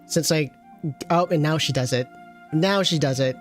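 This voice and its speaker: A game streamer with a middle-pitched voice talks casually to chat, rambling from one thought to the next and teasing along the way. Faint music plays behind the voice. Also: normal speed. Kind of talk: casual livestream game commentary.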